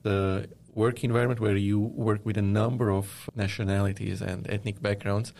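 A man from Lebanon answering interview questions, speaking in a measured way and often pausing with "uh". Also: lowish voice, flat delivery, vocal fry, darkish tone, slight background noise. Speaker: guest, media company founder.

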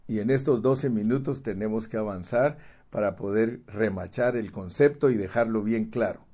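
A man preaching with a dark, mellow voice, stressing his points by repeating words. He keeps turning to the listener as brother, rising in force at key lines. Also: on the low side, normal speed. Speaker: pastor. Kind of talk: sermon. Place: United States.

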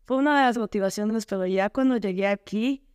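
A woman's voice: medium pitch, a little fast, a little mellow, smooth, no room sound, studio quality. A woman with an Ecuadorian accent telling her story casually as a podcast guest, often searching for words. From Quito, Ecuador.

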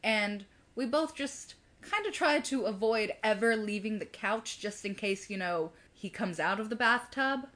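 A woman's voice: mid-range voice, expressive, unhurried, hardly any pauses, fairly bright tone. Wry woman telling a casual story. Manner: wry, self-deprecating humor. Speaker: video co-host.

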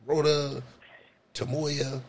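Profane, loud joking New man. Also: flat delivery, drawn-out words, slight background noise. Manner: loud, profane, joking, swings pitch high when riffing. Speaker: podcast host. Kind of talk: crude comedic banter.

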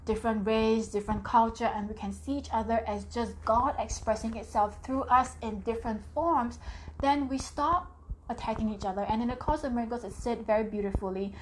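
A woman's voice: fairly high pitch, steady tone, fairly quick, slight background noise, rough recording. Chatty woman hosting a live stream. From Singapore. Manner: chatty, reads viewer comments aloud, tags "right?". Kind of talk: casual spiritual live talk.

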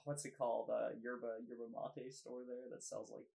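A young man from Canada with a gravelly, creaky voice, chatting as a podcast guest. He speaks at an average pitch. He is easygoing and often pauses on "uh". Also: little pitch movement, mellow. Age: young adult. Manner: easygoing, hesitant with "uh" and "yeah". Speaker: guest filmmaker. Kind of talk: casual interview chat.

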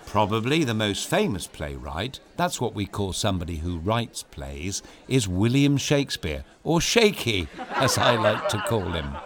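A man's voice: on the low side, sing-song, measured pace, hardly any pauses, a faint crowd. A man hosting a children's story show, reading a script in a playful, theatrical and explanatory way. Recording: clear music behind voice.

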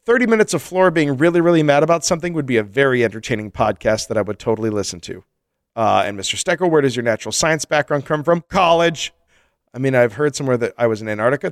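A man reads listener mail on a comedy podcast, cracking jokes and laughing often. His pitch leaps around a lot. The sound is dry and close, with no room echo. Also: fast talker. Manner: joking, laughs often, animated. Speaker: podcast co-host. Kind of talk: casual comedic chat, reading listener mail.

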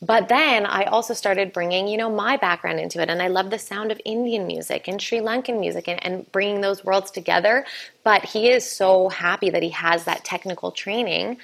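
A woman's voice: mid-range voice, moderate pace, gravelly, fairly bright tone. A woman who runs an arts festival, answering interview questions earnestly, with frequent restarts and fillers.

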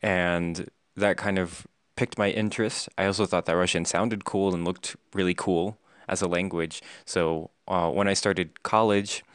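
A young man with an American accent speaks in a low voice in a dry-sounding space. He chats reflectively, as someone answering questions in a relaxed interview. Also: moderate pace, hardly any pauses, clear, resonant tone, no echo. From United States. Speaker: language learner being interviewed. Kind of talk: casual conversational interview.

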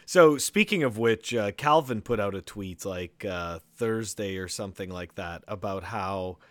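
Casual male host, lower voice, dry mic, filler-heavy chat. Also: measured pace, no room sound. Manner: loose, leans on "you know" and "like". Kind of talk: casual podcast conversation.

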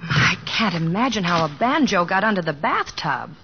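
A woman's voice: lowish voice, big pitch swings, normal speed, background noise. An actress playing a prim, polite, slightly flustered young small-town woman in old radio drama style. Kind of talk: 1940s radio acting. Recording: muffled old radio broadcast, music behind.

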